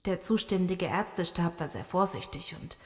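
An actress playing an earnest, serious virologist surviving a zombie apocalypse in an audio drama. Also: female voice, lowish voice, expressive, normal speed, close to an everyday voice. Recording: long cavernous reverb.

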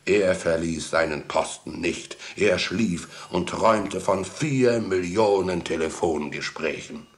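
A man's voice: low voice, slow, hardly any pauses, a little hiss or hum. A man narrating a children's story as the narrator of a 1960s radio play.

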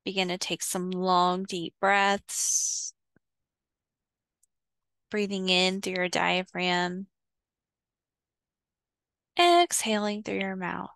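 A woman leading a guided visualization in a soothing, instructional way, pausing between short phrases. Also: fairly low pitch, creaky, a bit bright, clean tone, no room sound. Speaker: guided meditation host. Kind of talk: guided visualization, meditation narration.

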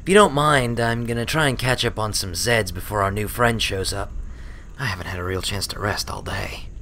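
A man voicing a brash, tired young female pegasus adventurer in a storybook, telling her exploits in casual, animated speech. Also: lowish voice, normal speed, a little hiss or hum. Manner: animated, colloquial. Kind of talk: storybook character voice.

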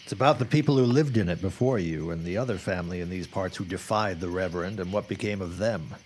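Man playing a low-voiced, grave 1910s newsman. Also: fast talker, darkish tone, slight background noise, lightly acted. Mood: grave, foreboding. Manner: formal, old-fashioned phrasing, grave storytelling. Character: grim, wary 1910s newsman and worried father. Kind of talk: period radio drama acting.

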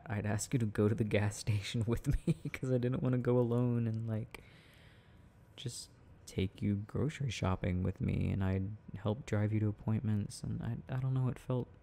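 Sweet, shy young boyfriend played by a male actor for ASMR roleplay: soft, tender, halting, slow and mellow, close-miked. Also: on the low side, creaky, a little hiss or hum. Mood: fond, reflective.

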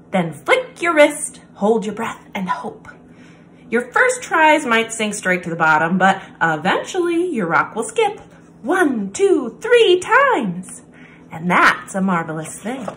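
Slow, lively woman reading a picture book. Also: medium pitch, a little hiss or hum. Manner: deliberate, expressive phrasing with pauses. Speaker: children's story time presenter. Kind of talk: read-aloud picture book narration for children.

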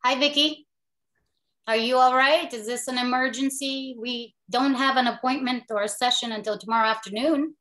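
A woman's voice: high voice, steady tone, moderate pace, fairly bright tone. An actress playing a firm female therapist over a video call. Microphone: video call webcam.

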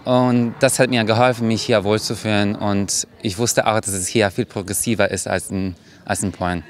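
A man's voice: on the low side, steady tone, moderate pace. Young Polish man, faint outdoor noise. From Poland.

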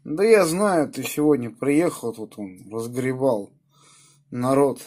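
A man with a sore throat from a cold, chatting in a husky, subdued way over FM radio. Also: slow pace, a little mellow, slight background noise. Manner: low-key, subdued. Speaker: amateur radio operator. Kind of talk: casual radio chat. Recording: FM two-way radio transmission.

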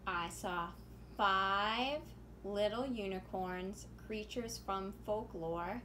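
A woman's voice: mid-range voice, a bit bright, poor audio. A woman in her thirties presenting storytime to young children with simple, instructive phrasing. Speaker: children's librarian and storytime host. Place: small room at home, indoors. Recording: home video with faint background noise.

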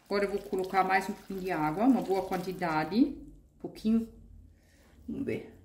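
A woman's voice: medium pitch, moderate pace, a little mellow. Chatty woman in an echoey kitchen. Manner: chatty, homey, uses diminutives and fillers. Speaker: vegan cooking channel host. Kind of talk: casual step-by-step cooking tutorial. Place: home kitchen at a stovetop.

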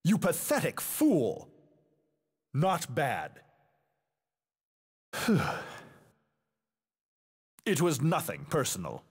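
Casting: menacing, disdainful fighting-game warrior. Husky, hugely animated pitch, grand haughty delivery. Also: male voice, extremely slow, long pauses, long reverb tail, full character voice. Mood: cold disdain. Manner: haughty, declamatory, dramatic. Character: proud, menacing adult male warrior, disdainful of weaker foes. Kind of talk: video game fighting voice clips, theatrical.

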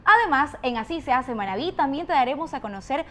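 A woman in her thirties hosting a regional TV program in a bright, welcoming announcer delivery from a restaurant set. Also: high-pitched, animated, quick pace. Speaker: TV program host. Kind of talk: scripted TV presenting. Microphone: desk microphone on stand.

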